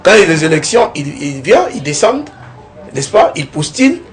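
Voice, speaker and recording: A young man from the Kongo Central region of DR Congo, a political candidate giving a TV interview, talking in a lively way and often tagging his points with a rising question. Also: fairly high pitch, a little fast, over music. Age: young adult. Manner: animated, keeps adding "n'est-ce pas?", rising tone on points.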